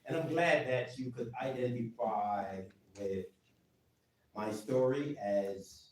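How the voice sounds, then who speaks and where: A man speaking as a council member at a public meeting, deliberate and pointed, with measured pauses. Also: medium pitch. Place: council chamber. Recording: echoey chamber from far off.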